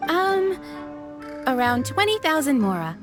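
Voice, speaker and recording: A woman's voice: high-pitched, very animated, measured pace, music in the background. An actress playing a polite, eager restaurant waitress in a video game, attentive to her customers. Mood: helpful.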